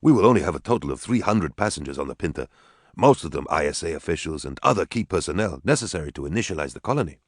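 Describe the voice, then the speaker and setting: A calm, exact-speaking historian with a very low voice, as the narrator puts him on. He explains things in a level, matter-of-fact way. The sound is close and dry. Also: fast, slightly rough, no room sound, exaggerated voice. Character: calm, precise male historian.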